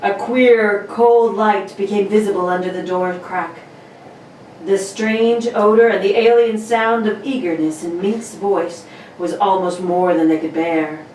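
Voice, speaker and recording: An ominous radio-play storyteller, voiced by a woman, telling a suspense tale at a medium pitch. She sounds set back from the mic in a roomy space with faint noise. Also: fairly even intonation, unhurried, a little mellow, recorded from a distance, natural voice. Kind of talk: dramatic scripted narration.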